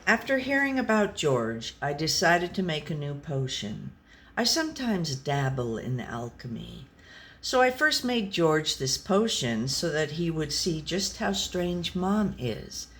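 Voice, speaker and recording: Slow, deadpan storybook pet read by a woman. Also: low-pitched, heavily acted. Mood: dry, tolerant. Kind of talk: scripted storybook narration.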